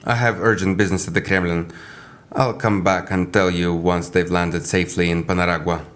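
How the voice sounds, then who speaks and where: An actor playing a brisk, pompous Russian official and jailer in an audio drama, declamatory and commanding. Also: male voice, low voice, little pitch movement, fairly bright tone. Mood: smug, courteous.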